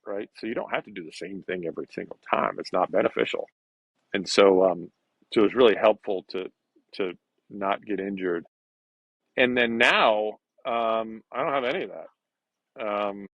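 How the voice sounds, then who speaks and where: A man in his fifties talking as an interview guest, in a halting conversational way with repeated words. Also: fairly low pitch, no room sound. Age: 50s. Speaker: podcast guest. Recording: dull lo-fi call audio.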